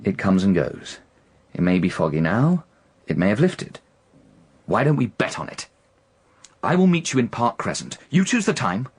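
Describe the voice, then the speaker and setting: An actor playing a reckless, indebted young gentleman gambler in a radio drama, agitated, insistent and repeating himself as he presses. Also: fairly low pitch, sing-song, moderate pace, long pauses, husky. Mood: feverish, insistent.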